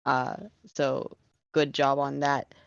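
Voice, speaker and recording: Mid-range voice, smooth and clear, casual feedback, slightly dull dry audio. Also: medium pitch, completely flat delivery, slow, dry recording. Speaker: table read participant giving feedback. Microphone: online meeting call.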